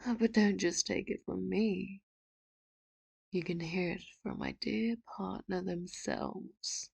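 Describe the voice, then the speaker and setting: A woman voicing a possessive, doting female villain in an audio roleplay, with a soft, intimate voice that slips into whispers and sweetly manipulative talk broken by quiet laughs. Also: medium pitch, steady tone, slow pace, vocal fry, slightly rough, heavily acted. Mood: theatrical. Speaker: ASMR roleplay voice actor. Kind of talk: audio roleplay, addressing the listener.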